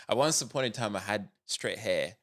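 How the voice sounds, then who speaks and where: A man's voice: on the low side, expressive, unhurried, fairly bright tone, dry recording, clean studio sound. A young man from Zambia, a startup founder, sharing reflective stories as a podcast guest. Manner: reflective, storytelling, frequent restarts. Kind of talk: casual podcast conversation.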